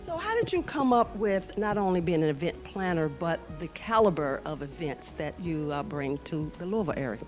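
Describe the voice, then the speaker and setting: A woman's voice: lowish voice, very animated, normal speed, few pauses, soft background music. A woman hosting a local TV talk show, interviewing guests in an upbeat, enthusiastic manner. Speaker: TV show host. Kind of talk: friendly interviewing.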